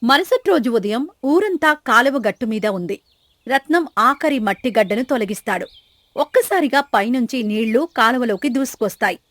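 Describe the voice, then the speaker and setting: A woman's voice: a little high, lively intonation, normal speed, a bit bright, no room sound. A woman reading scripted story narration as the storyteller.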